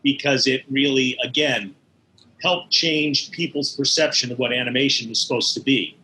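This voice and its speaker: A man's voice: medium pitch, steady tone, moderate pace, pushed and bright, a little hiss or hum, rough recording. A middle-aged or older man, an interview guest recalling his work in animation with emphatic enthusiasm. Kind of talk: conversational recollection.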